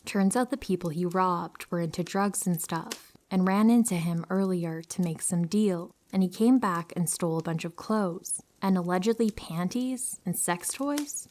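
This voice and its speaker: A woman with a fairly low voice reads true horror stories aloud. Her delivery is calm and even, the way a narrator tells a story meant for sleep. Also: normal speed, few pauses.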